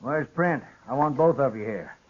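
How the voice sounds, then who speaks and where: A man's voice: mid-range voice, lively intonation, normal speed, lightly acted. An actor playing a stubborn old rancher father with a folksy Western drawl in a 1950s radio western. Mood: stern, questioning. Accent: American Western drawl. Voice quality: folksy rural speech. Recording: dull old radio recording.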